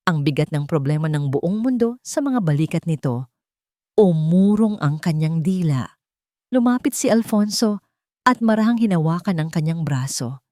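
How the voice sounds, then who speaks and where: A woman's voice: low-pitched, darkish tone, no room sound, clean studio sound. A woman reading a scripted, dramatic love story as a storyteller. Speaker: storyteller, narrator.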